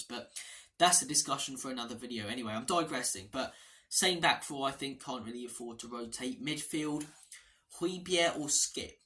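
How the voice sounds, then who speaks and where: A hoarse man talks through a football preview in a loose, chatty monologue. His voice sounds bright and forceful. He wanders off topic and restarts phrases as he goes. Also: medium pitch. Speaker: fan channel host.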